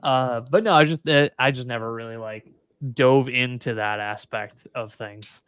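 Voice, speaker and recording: A man in his thirties hosting a casual podcast interview, relaxed and quick to laugh, with plenty of filler words. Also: medium pitch, smooth, no echo, studio quality. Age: 30s. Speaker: podcast host.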